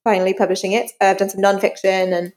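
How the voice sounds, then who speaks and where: A woman's voice: mid-range voice, level tone, quick pace, darkish tone. A woman who is an author, speaking candidly and informally as an interview guest, reflecting as she talks. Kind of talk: casual interview conversation. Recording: long reverberant room sound.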